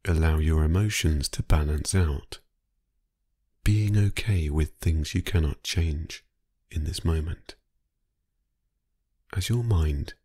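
Male sleep-meditation narrator, low-pitched and slightly husky, soothing and hypnotic, unhurried phrasing. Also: dry recording, clean studio sound. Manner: calm, soothing, unhurried, with long pauses.

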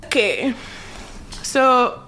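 A woman's voice: fairly high pitch, expressive, drawn-out words, pushed and bright, soft background music, low-quality recording. A woman with a Nigerian accent hosting a quiz in casual, teasing storytelling, with dramatic emphasis. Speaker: trivia quiz host.

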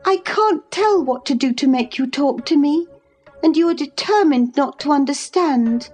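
A woman's voice: high voice, lively intonation, normal speed, darkish tone, soft background music, voice pushed up. A woman voicing a petulant, pleading young woman from a novel, earnest and insistent. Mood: pleading, persistent.

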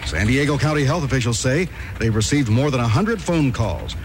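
An American man delivers scripted radio news in a 1960s announcer style. His medium-pitched voice comes through a noisy old broadcast recording. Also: lively intonation, fairly quick.

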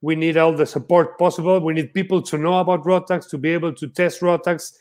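A man's voice: on the high side, little pitch movement, a little fast, a little mellow. Hesitant man speaking English. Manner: hesitant, slightly broken English with fillers. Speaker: guest.

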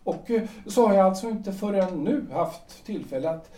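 An actor playing a stiff, reproachful husband in his forties in a period audio drama, halting and formal in delivery. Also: high-pitched, lively intonation, mellow. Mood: hurt, formal. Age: 40s. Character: Gustav, stiff, reproachful 43-year-old lawyer husband. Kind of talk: period stage-play audio drama acting. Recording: echoey room, far from the mic.

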